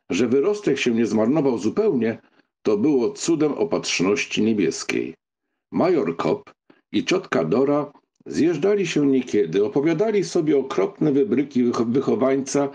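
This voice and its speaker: A man reading a literary novel as an audiobook narrator, with an expressive storytelling delivery. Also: mid-range voice, breathy edge.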